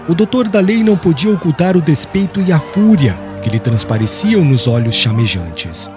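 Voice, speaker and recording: Audiobook narrator, male, Brazilian accent: solemn and theatrical, higher-pitched with lively swings, clear music underneath. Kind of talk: dramatic scripted literary narration. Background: background music.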